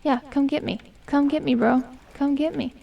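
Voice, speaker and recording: A woman in her twenties, going hoarse as she chats casually and mutters to herself while streaming a game. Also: sits high, steady tone, moderate pace, far from the mic, lo-fi sound. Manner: chatty, self-mocking, hums and mutters to herself. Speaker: gaming streamer. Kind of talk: casual gameplay commentary.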